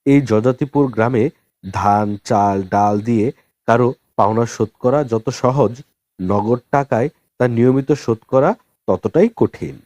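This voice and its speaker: A man narrating a novel as an audiobook in a measured storytelling manner. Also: medium pitch, mellow, no echo.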